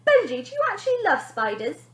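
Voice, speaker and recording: A woman's voice: extremely high pitch, wildly swooping pitch, brisk, a bit bright, roomy sound, voice pushed up, full character voice. A woman voicing a bossy, indignant young girl from a storybook, snappy, suspicious and sneering. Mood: indignant panic.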